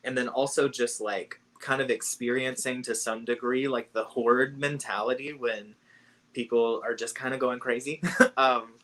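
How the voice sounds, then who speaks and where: Laid-back male host chatting about a book on a live call, mid-pitched, easygoing. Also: measured pace, rough recording. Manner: relaxed, chatty, laughs easily. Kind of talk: casual book chat on a live stream. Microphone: video call.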